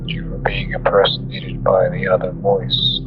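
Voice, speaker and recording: A man with a distorted, slowed-sounding voice repeating set phrases on a recorded phone call. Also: low-pitched, monotone, vocal fry, whispered. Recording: muffled lo-fi phone recording, music over it. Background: music.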